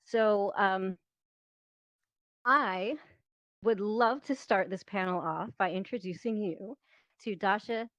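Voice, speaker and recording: A woman's voice: mid-range voice, takes it slowly, pauses often, a bit bright, no room sound. A woman leading a panel, introducing it informally in an eager, welcoming manner over a lo-fi online voice chat. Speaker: team leader hosting a panel.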